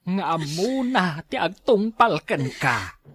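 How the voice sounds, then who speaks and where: Low husky scolding Filipino mother. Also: big pitch swings, takes it slowly, no room sound, full character voice. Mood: reproachful. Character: worried, reproachful mother scolding her grown child. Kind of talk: Ilocano radio drama acting.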